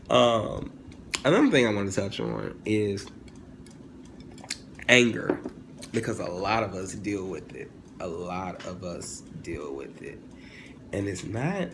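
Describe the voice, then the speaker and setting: A man's voice: fairly low pitch, slow pace, long pauses. Male vlogger, 20s. Informal, digressive, humorous rant with wide intonation; noisy ambient recording. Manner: animated, informal, digressive, jokey, with exclamations and self-corrections. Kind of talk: casual, humorous opinion monologue. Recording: clearly noisy, ambient background sound.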